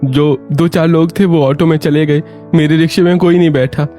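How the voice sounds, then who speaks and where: Man acting an exhausted, dejected husband, mellow-toned. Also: a little high, steady tone, moderate pace, faint music behind, close to an everyday voice. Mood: dejected, defensive. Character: exhausted, dejected poor rickshaw-puller husband.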